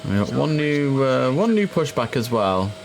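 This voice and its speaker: A young man chatting casually through a simulator game, relaxed and filling pauses with ums and uhs. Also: medium pitch, vocal fry. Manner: chatty, relaxed, with frequent fillers. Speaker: gaming streamer. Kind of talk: casual gameplay commentary.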